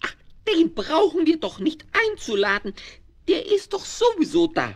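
Little dinosaur king, very high voice, swooping, bossy, petulant, children's radio play. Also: huge pitch swings, moderate pace, full character voice. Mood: imperious. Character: small childish dinosaur playing an imperious, whiny king.

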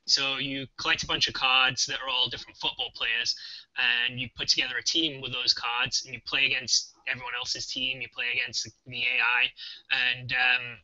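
A man's voice: medium pitch, expressive, moderate pace, pushed and bright, dry recording, dull audio. A young man, a game developer, co-hosting a casual live stream, talking hesitantly with many ums, on a webcam mic.

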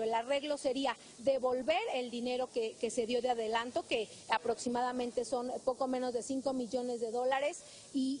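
A woman's voice: high voice, a little mellow. A woman with a Mexican accent giving a live field report as a TV reporter. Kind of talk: live news report, semi-scripted. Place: outside a government building on a city street. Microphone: handheld reporter microphone. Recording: outdoor street noise behind.

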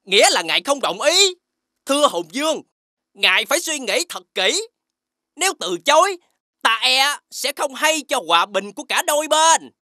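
A woman playing a pompous, ceremonious foreign envoy with a threatening edge in an animated fairy tale. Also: sits high, moderate pace, no room sound, studio quality, heavily acted. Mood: offended, menacing.

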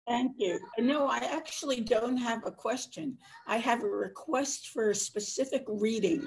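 An older woman with a slightly high voice speaks very slowly. She is an audience member on a video call, asking casually and politely from home. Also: darkish tone, low-quality recording. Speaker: audience member making a request. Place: living room, indoors.

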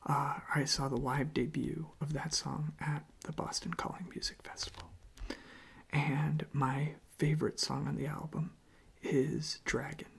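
A young man speaking in a hushed, breathy, mostly whispered ASMR style, unhurried and halting, close to the microphone. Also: on the high side, flat delivery. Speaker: ASMR video creator. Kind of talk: soft-spoken ASMR.

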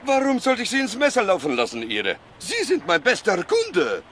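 Very animated, slick forger. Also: male voice, high-pitched, normal speed, slight background noise, full character voice. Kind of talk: scripted video game dialogue.

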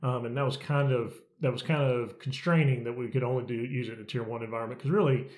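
A man's voice: medium pitch, fast talker. Chatty man in an echoey home office. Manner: relaxed, conversational with frequent restarts and repeated words. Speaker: software consultant. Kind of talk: casual how-to video. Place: home office with bookshelves, indoors. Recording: roomy echoey small room.

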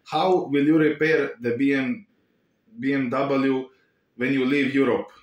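A young man putting on an earnest motorcycle vlogger with broken English and a Bulgarian-tinged accent, talking slowly and preachily in a small room. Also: mid-range voice, steady tone, roomy sound, dull audio, natural voice. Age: young adult. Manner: earnest, slow, preachy, repeats catchphrases. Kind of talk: parody vlog impression.

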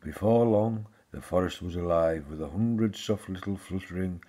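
A man with a husky voice, reading a children's fairy tale aloud as a storyteller. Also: deep voice, normal speed, mellow.

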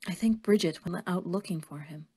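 A woman playing a kindly, worried older woman who believes in fairies, gentle and motherly in an audio drama. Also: lowish voice, moderate pace, husky. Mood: sorrowful.

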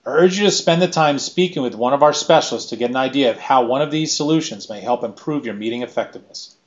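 A middle-aged man giving a scripted keynote presentation in a measured, list-by-list delivery, recorded on a webcam in a small room. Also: mid-range voice, lo-fi sound. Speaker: keynote speaker.

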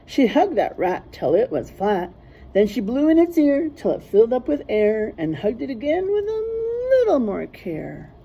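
A middle-aged woman reading a rhyming picture book aloud like a teacher to young children, sing-song and deliberate, stressing rhymes and letters. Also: a little high, big pitch swings, vocal fry, mellow, husky, highs cut off. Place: small classroom, indoors.

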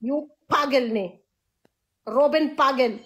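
A woman's voice: sits high, slow, fairly bright tone. A woman in her thirties with a Filipino accent, teasing and laughing in a casual live video call from a room at home. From Philippines. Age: 30s. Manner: playful, teasing, laughs often. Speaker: live-stream guest. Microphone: phone camera, close.

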